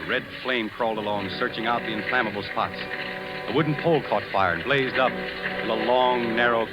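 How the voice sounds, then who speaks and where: An actor playing a brooding, obsessive American fire inspector who narrates and acts in a 1950s radio thriller, intense and confessional. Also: male voice, medium pitch, close to an everyday voice, poor audio. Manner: intense, dramatic first-person confessional. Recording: vintage radio drama with music behind.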